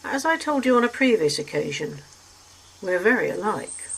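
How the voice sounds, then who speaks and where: A woman's voice: medium pitch, big pitch swings, normal speed, creaky, husky, exaggerated voice, low-quality recording. A reader voicing an arch, refined woman traveller who speaks in a poised, teasing way.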